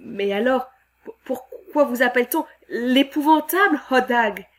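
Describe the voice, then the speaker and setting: A woman's voice: sits high, animated, unhurried, pitched above the performer's own voice. A woman voicing an anxious, earnest male lumberjack foreman in a read-aloud storybook, with a raised, eager voice. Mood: nervous.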